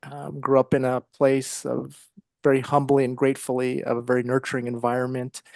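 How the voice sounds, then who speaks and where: A middle-aged man with an American accent, speaking conversationally as an interview guest, with halting, um-filled phrasing. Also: medium pitch, monotone, creaky, darkish tone, dry recording. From Ohio, United States. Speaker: interview guest, psychologist and author.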